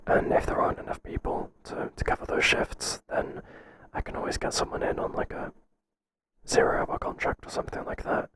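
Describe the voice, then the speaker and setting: A man playing a hesitant, flattering boss in a whispered ASMR roleplay, speaking softly and breathily in a halting, confiding way. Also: natural voice. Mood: reassuring, businesslike. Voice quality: soft, breathy, mostly whispered.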